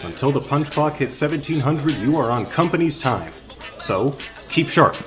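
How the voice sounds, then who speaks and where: Man acting an officious, mid-pitched store manager. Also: animated, moderate pace, music in the background, close to an everyday voice. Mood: stern. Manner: stern, formal, pompous. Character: officious, strict male fast-food manager, company loyalist. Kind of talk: audio drama acting.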